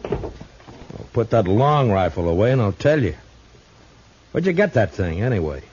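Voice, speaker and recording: A low mellow american lawman, calm and dry, old western radio show. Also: normal speed, slightly rough. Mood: wary. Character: calm, dry-witted frontier marshal, authoritative. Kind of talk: old-time western radio acting and narration. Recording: muffled old radio recording.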